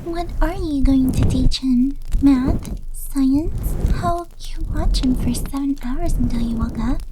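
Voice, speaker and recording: Woman doing a slow high cutesy yandere maid girl, clingy n shy, whispery asmr roleplay. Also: background noise. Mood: shy, teasing.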